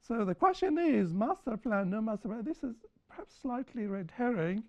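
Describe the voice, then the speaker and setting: A woman speaking on a panel after a film screening, lecturing in a deliberate way and repeating points for emphasis. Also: a little high, animated, mellow. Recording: dull lo-fi recording.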